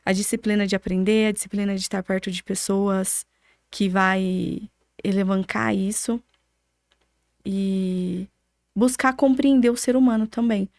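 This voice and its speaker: Casting: young Brazilian woman, mid-pitched, conversational and motivational interviewee, emphatic, dry close sound. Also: fairly even intonation, a little slow, darkish tone, dry recording. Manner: emphatic, asks and answers own rhetorical questions. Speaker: podcast guest, architect.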